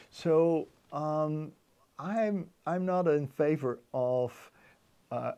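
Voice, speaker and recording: A man giving a technical explanation as an interview guest, haltingly, often repeating words as he thinks. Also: on the high side, vocal fry, warm tone. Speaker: interview guest, loudspeaker designer.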